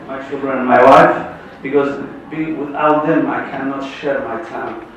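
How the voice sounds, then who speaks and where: An older man from Somalia with Somali as his first language, giving an emotional award acceptance speech in halting English full of pauses and fillers. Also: mid-range voice, fairly even intonation, highs cut off. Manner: halting, many pauses and fillers, loud bursts. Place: large conference hall. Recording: echoey hall from far off, noisy.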